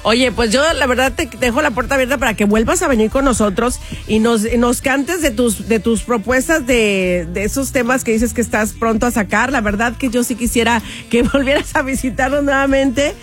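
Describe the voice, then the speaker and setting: A woman's voice: on the high side, moderate pace, hardly any pauses. Woman radio host, bubbly and affectionate, laughs a lot, faint music under the talk. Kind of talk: lively radio interview.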